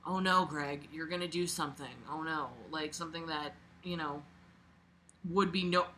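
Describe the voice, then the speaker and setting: A woman reading a book aloud as a host, casual and expressive, breaking off for sarcastic, sweary, mocking asides. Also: deep voice, moderate pace.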